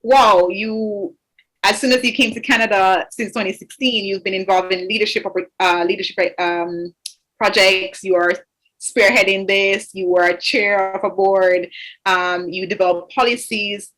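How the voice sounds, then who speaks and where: A woman's voice: mid-range voice, steady tone, moderate pace, fairly bright tone, rough recording. Young woman from Jamaica, interview guest, earnest and thoughtful, lots of "you know" fillers. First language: English. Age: 20s. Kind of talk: interview conversation.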